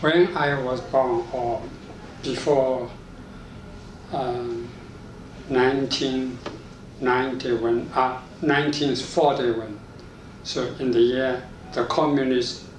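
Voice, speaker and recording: An older man with a Chinese accent gives interview answers at a slow pace. His English is simple and broken into halting fragments. The recording is roomy and distant from the mic, with faint noise. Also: medium pitch, fairly even intonation. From China.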